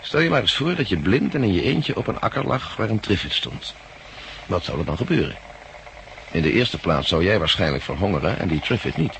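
Gravelly, brooding man, old radio. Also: sits low, big pitch swings, a little fast, fairly bright tone, full character voice, poor audio. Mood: grim, musing. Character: brooding male plant-farm worker, shrewd, grimly warning. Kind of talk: 1960s radio drama acting. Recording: old radio recording, faint noise.

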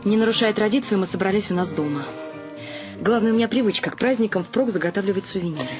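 A woman's voice: mid-range voice, quick pace, natural voice. An actress playing a wry, dreamy middle-aged wife and mother, narrating her household life in a radio play. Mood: brisk, wry. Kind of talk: first-person radio drama narration. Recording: music behind the voice.

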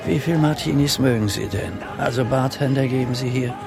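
An actor playing a crusty, tipsy old film director, grumbling yet courtly, in a radio play. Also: male voice, mid-range voice, a little fast, vocal fry, a crowd in the background, close to an everyday voice. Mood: crusty, genial. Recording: radio drama under loud music.

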